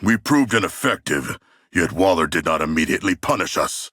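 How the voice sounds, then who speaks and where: An actor playing a huge, solemn shark warrior in a video game, eager for battle, speaking in formal, stilted phrases with a raspy, gravelly voice. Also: male voice, deep voice, expressive, a little fast, a bit bright, dry recording, studio quality. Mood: solemn, deadpan. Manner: formal, stilted, grandiose diction without contractions. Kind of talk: video game character voice lines.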